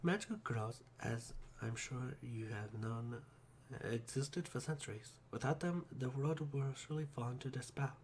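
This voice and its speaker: A man voicing a formal female teacher of magical history, lecturing in a stiff, measured way. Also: mid-range voice, a little hiss or hum, close to an everyday voice.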